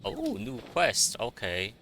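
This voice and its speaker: A man's voice: medium pitch, wildly swooping pitch, slow pace, sharp tone, faint music behind. A man giving casual gameplay commentary as a streamer, informal, muttering short reactions. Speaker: game streamer.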